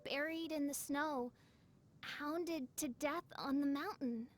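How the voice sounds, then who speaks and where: A woman's voice: high-pitched, takes it slowly. A woman voicing a theatrical teenage girl who fancies herself a gothic princess, pompous and archaic, in an anime-style game. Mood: grave, dramatic. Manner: pompous, archaic, dramatic diction.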